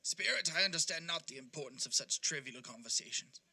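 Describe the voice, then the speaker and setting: An actor playing an anxious, repentant old miser in an audio drama, with a stammering, pleading delivery. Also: male voice, fairly high pitch, expressive, fairly quick, vocal fry, bright, forceful tone, husky, full character voice, poor audio. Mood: confused, pleading. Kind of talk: audio drama acting of a Victorian classic.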